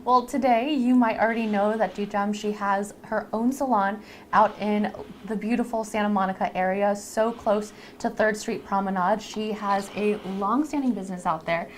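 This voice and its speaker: A woman co-hosts a live stream in a casual, chatty way, talking at a medium pitch. She explains things to her audience conversationally. Room ambience and noticeable noise sit behind her voice. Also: fairly even intonation, normal speed. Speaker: live stream co-host introducing a lash artist. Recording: clear background noise.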